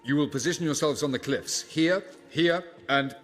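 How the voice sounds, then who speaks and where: An actor voicing a haughty, calculating old elf sage in a video game, speaking in a formal, imperious and measured way. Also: male voice, on the high side, music in the background, close to an everyday voice. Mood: commanding. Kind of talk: dramatic video game dialogue.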